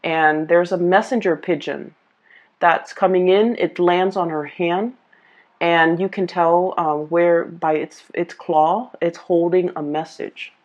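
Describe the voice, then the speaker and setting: A woman tarot reader speaking casually and off the cuff to viewers, often pausing and repeating herself as she searches for words. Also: lowish voice, fairly even intonation, highs cut off. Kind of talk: casual, improvised tarot reading addressed to viewers.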